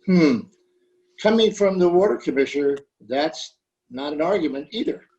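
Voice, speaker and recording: A man's voice: fairly high pitch, lively intonation, normal speed, darkish tone, highs cut off, close to an everyday voice. Man acting a lilting, skeptical small-town fussbudget. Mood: dryly skeptical. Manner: dry, hedging, skeptical. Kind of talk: 1940s radio comedy acting.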